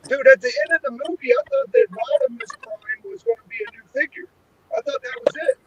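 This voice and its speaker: A slangy, excitable man chatting casually as a podcast panelist over a fuzzy connection. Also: very high-pitched, fairly quick, poor audio. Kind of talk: animated casual chat. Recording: fuzzy audio, faint noise, clicks.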